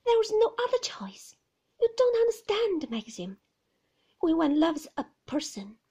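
A woman's voice: very high voice, very animated, warm tone, voice pushed up, exaggerated voice. A woman doing an anxious shy young wife. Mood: earnest. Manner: pleading, emotional, quick to protest.

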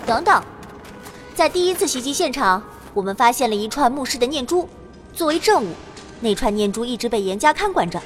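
A young heroine recounting her own fantasy adventure. Her voice is high, earnest and expressive in scripted dramatic narration. Music plays beneath it. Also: a little fast. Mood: suspicious.

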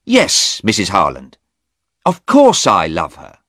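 A man's voice: low-pitched, wildly swooping pitch, takes it slowly, a bit bright, dry recording, clean studio sound, voice pushed up, heavily acted. A man doing a flustered, evasive young man. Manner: hesitant, defensive.

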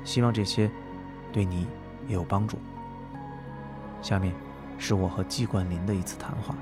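A man's voice: sits low, steady tone, moderate pace, loud music behind the voice. A man hosting an interview podcast, speaking reflectively and conversationally. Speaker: podcast host. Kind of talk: reflective narrated introduction, then interview conversation.

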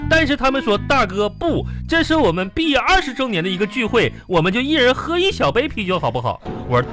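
A man's voice: very high voice, sing-song, fairly quick, fairly bright tone, exaggerated voice. A man playing a henpecked middle-aged husband with a Northeastern Chinese accent in a comic radio drama, coaxing and mock-earnest. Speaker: radio comedy host. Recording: music playing behind.

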